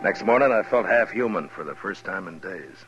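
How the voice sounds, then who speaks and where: An actor playing a gruff, world-weary but fair frontier marshal who also narrates a 1950s radio western. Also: male voice, low voice, lively intonation, a little fast, over music. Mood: weary narration. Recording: muffled old radio recording.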